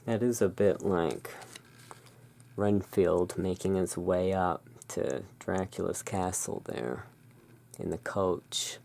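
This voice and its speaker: Slow, creaky low-voiced ASMR host. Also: darkish tone, slight background noise. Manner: musing, talking to self. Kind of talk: casual ASMR tarot card commentary.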